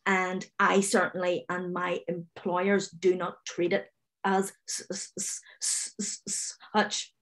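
Northern Irish woman, 40s, video call panellist; open stammer with repeats and blocks, unhurried, self-assured. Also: on the low side, level tone, fairly bright tone. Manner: confident, direct. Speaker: webinar panellist, finance manager. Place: home room, indoors. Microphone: video call webcam.

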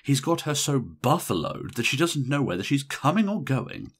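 A man reading an audiobook, voicing a brash, irritable young woman in a bridesmaid's role who talks in a shrill, insistent way. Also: sing-song, moderate pace, husky. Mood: gossiping. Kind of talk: audiobook character voice.

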